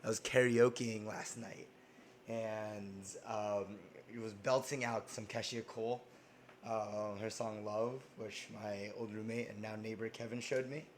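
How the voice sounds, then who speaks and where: Hoarse man chatting on a cooking stream. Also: on the low side, level tone, a little slow, slight background noise. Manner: rambling, many ums and restarts. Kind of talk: casual cooking stream chat.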